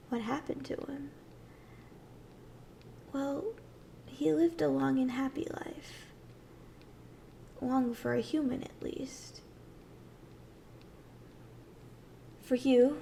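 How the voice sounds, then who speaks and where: A gentle, ancient tree dryad, played by a woman, speaks in a high, very creaky voice at a slow, soothing pace. The delivery is soft and breathy, often near a whisper, in the style of a tender fantasy ASMR roleplay. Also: a little hiss or hum, full character voice. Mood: wistful, gentle.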